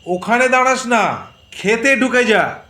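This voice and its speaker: A man's voice: extremely high pitch, measured pace, bright, forceful tone, exaggerated voice. A man playing an easygoing young man who guides his friend casually, in an audio drama with a roomy sound. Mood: playfully directing. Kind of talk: Bengali audio story acting.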